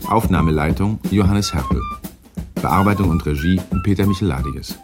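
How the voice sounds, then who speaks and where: A man's voice: low voice, normal speed. A man making a formal scripted broadcast announcement as a radio play's closing announcer. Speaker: announcer reading radio play credits. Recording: music clearly playing behind.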